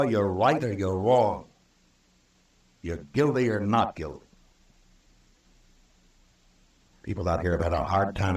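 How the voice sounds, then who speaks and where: Deep-voiced, stern Old West marshal. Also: male voice, a little mellow. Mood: solemn lecturing. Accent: American. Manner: firm, deliberate, moralizing. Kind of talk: old-time radio western acting. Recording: roomy, distant vintage radio recording.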